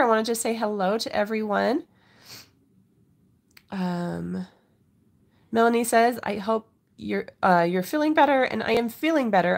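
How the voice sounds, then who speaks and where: A woman's voice: mid-range voice, creaky. Stuffy-voiced woman with a cold. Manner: apologetic, chatty. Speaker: crafting livestream host. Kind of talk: casual live unboxing chat. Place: home craft desk.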